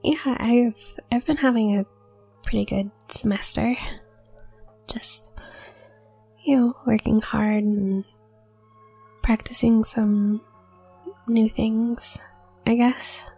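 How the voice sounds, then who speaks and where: Shy student witch, played by a woman: young, soft-spoken, nervous stutter, unhurried, slightly creaky voice, for an intimate audio roleplay. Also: on the high side, steady tone, soft background music, full character voice, low-quality recording. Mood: hesitant, nervous. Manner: nervous stutter, trailing off, hesitant.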